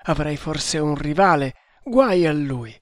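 Guy doing a feverish wounded hunter for an audiobook, raving and theatrical, kinda high, swings into shouts. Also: lively intonation, slow, dry recording, clean studio sound. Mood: anguished delirium. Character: delirious wounded male snake hunter, frantic and menacing. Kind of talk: dramatic adventure audiobook reading.

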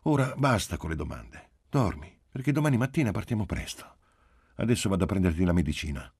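A man's voice: low-pitched, very animated, brisk, a little mellow, slightly rough, dry recording, clean studio sound, voice pushed up, heavily acted. A man reading a story as a firm mother, brusque but good-humoured with her small child. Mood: brisk, patient. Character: brisk, firm young mother of small girl.

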